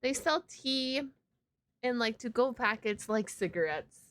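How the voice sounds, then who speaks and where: A woman's voice: on the high side, a little slow, no room sound. A woman giving casual, chatty reaction commentary as a streamer, exclaiming and playfully repeating words. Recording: loud steady background noise.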